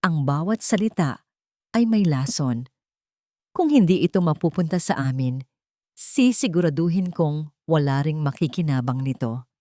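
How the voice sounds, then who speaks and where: A woman's voice: low-pitched, lively intonation, normal speed, long pauses, no room sound, studio quality. A woman voicing a cold, threatening older matriarch villainess in a narrated drama story. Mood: cold menace. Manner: quiet, threatening.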